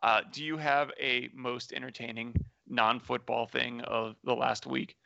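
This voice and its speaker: Guy co-hosting a podcast, gravelly bright voice, dry muffled sound. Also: mid-range voice, flat delivery, measured pace, dry recording. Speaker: podcast co-host. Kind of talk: casual chat.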